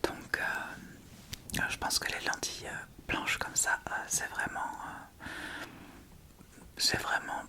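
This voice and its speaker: A woman whispering a casual ASMR makeup tutorial in a breathy, unvoiced voice, speaking informally and a little hesitantly to the viewer. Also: slow pace, long pauses. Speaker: ASMR video creator and host.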